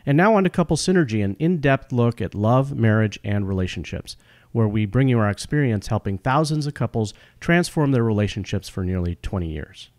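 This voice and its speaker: A man's voice: fairly low pitch, expressive, a little fast, darkish tone. A middle-aged man hosting a podcast, introducing the show and its guests and putting questions to them. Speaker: podcast host, couples therapist.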